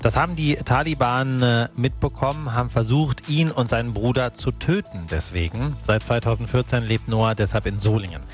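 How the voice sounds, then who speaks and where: A man's voice: medium pitch, normal speed, few pauses. A man hosting a local radio morning show, telling a news story in a conversational way and adding his own opinions. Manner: conversational, personal opinions mixed into the report. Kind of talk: radio news report with commentary. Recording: radio studio with faint music bed.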